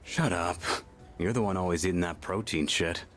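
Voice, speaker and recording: A man playing a gruff, curt, irritable young friend in a video game. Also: low-pitched, normal speed, a bit bright, faint music behind. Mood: irritated banter.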